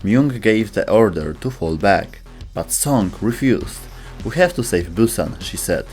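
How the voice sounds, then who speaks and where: A man's voice: lowish voice, expressive, unhurried, a little mellow, soft background music. A man reading scripted narration as a video host.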